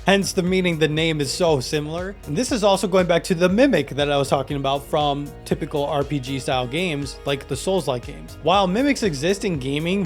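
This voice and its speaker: A man with a slightly high voice delivers a scripted explainer. He presents like an author walking through his own work, formal and emphatic as he moves from point to point. Music plays softly underneath his voice. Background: background music.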